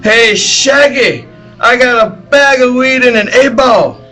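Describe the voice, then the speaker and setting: A man's voice: extremely high pitch, slow, pushed and bright, faint music behind, pitched above the performer's own voice, heavily acted. A man voicing a laid-back, druggy oddball stand-up comic in an impression at a live table read.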